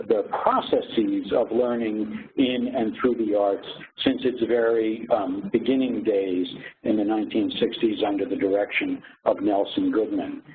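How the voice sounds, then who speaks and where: A man giving an informational presentation as a program director, explaining in measured phrases with pauses. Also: lowish voice. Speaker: faculty director of a graduate program. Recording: phone-like lo-fi audio with faint noise.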